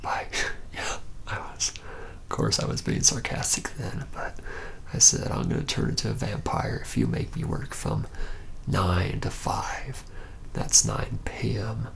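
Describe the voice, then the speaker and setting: Guy doing a jaded young vampire asmr thing, super gravelly half whisper, hesitant and deadpan. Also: fairly low pitch, heavily acted. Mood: resigned, grumbling. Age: young adult. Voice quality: breathy whisper with gravelly creak. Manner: hesitant, halting, wry and deadpan. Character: reluctant, jaded young male vampire, former warehouse worker. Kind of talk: whispered ASMR roleplay monologue.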